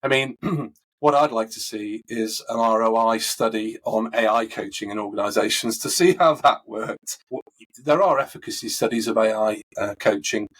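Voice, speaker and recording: A man with a husky, recovering voice, answering interview questions in a thoughtful, conversational way with frequent fillers and restarts. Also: fairly low pitch, normal speed, fairly bright tone, low-quality recording. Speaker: coaching expert guest.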